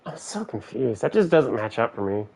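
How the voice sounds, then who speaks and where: A man's voice: mid-range voice, sing-song, moderate pace, gravelly, a little mellow, slightly rough. A man giving casual live gameplay commentary, musing aloud in short, slangy bursts. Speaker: game streamer. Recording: game music faintly behind. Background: video game music.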